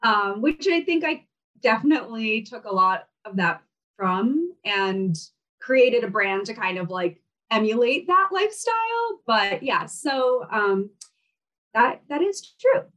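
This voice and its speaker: A woman's voice: a little high, big pitch swings, a little slow, a bit bright, distant mic. A young woman from Kazakhstan, Russian her first language, chatting as an interview guest with many fillers and laughs. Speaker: guest, fashion brand founder. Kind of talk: casual interview chat.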